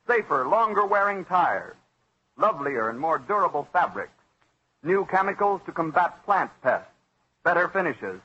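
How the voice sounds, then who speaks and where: Bright, husky vintage American radio announcer. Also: male voice, on the high side. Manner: formal, measured, earnest delivery. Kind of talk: 1940s radio sponsor announcement, scripted. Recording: dull vintage radio broadcast recording.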